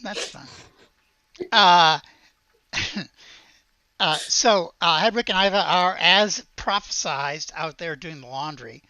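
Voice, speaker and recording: A woman narrates a tabletop game as its game master. She thinks aloud in a slow, hesitant way, with a bright edge to her voice and a dry, close sound. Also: fairly low pitch, no echo.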